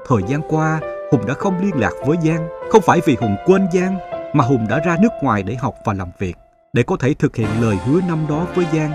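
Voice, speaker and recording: A devoted young cartoon suitor with a fairly high voice. He speaks earnestly, like someone who has come back successful to the one he loves. Music runs clearly underneath. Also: big pitch swings, brisk. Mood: earnest, tender. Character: devoted, successful young man returning to his sweetheart. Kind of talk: dramatic cartoon dubbing.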